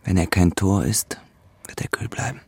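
Radio drama, guilt-laden, unsentimental man: deep and husky, terse, measured, recorded close and dry. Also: fairly even intonation, no echo, whispered. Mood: resolute. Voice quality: low, gravelly, husky. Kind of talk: German radio play acting.

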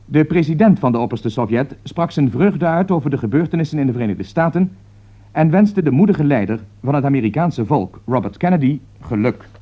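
An actor playing a grave radio newsreader giving an emergency bulletin in formal, measured Netherlands Dutch. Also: male voice, on the high side, animated. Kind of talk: 1960s radio drama news bulletin. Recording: dull vintage 1960s radio recording.

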